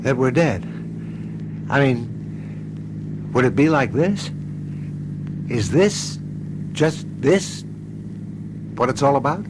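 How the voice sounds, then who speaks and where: A subdued, puzzled husband in a radio mystery, a man with a mid-range voice. He talks slowly and sounds doubtful. Clear car noise runs behind him. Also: animated. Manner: low-key, unsure, doubtful. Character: puzzled, subdued husband driving through a strange ordeal. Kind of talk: radio mystery drama acting.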